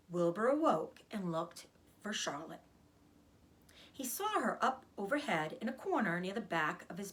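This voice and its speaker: A woman narrating a children's storybook aloud with expressive, careful phrasing. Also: medium pitch. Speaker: read-aloud reader, teacher.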